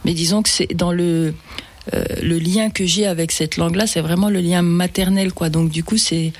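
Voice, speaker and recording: A woman's voice: fairly low pitch, fairly even intonation, normal speed. A woman singer, a native Fula speaker, talking in a casual interview and often restarting her words. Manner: hesitant, many restarts and repeated words. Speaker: singer guest.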